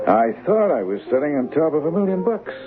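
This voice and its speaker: An actor playing a dim, eager small-time crook who tries to sound educated, with slangy, hesitant, earnest talk in a radio drama. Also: male voice, medium pitch. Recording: thin, tinny old radio broadcast.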